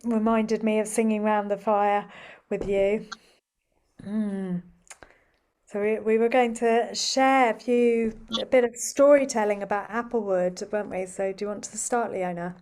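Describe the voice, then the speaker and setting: A woman hosting a video call, speaking in a gentle, conversational way. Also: medium pitch, steady tone, measured pace. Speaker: host.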